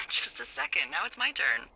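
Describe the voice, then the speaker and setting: A woman's voice: low-pitched, very animated, normal speed, bright, forceful tone, slightly rough, exaggerated voice, low-quality recording. An actress playing a teasing, brash middle-aged woman fire lookout in naturalistic video game dialogue, with a flippant, familiar manner. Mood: playful, wry.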